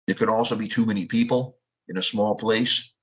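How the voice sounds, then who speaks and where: A man's voice: lowish voice, steady tone, a bit bright, husky. A man explaining his point steadily on a panel discussion. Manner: measured, explanatory. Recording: dull lo-fi audio.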